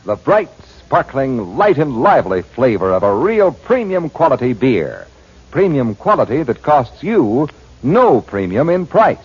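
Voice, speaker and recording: A man announcing on 1940s radio with an emphatic sales-pitch delivery. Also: sing-song, a little slow, slightly rough. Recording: muffled old 1940s radio broadcast.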